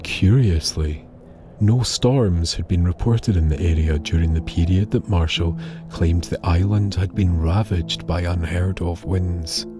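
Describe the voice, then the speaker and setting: Slow, deep-voiced storyteller, a man. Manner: solemn, measured, dramatic phrasing with pauses. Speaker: storyteller, narrator. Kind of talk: scripted narration of an eerie tale. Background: music.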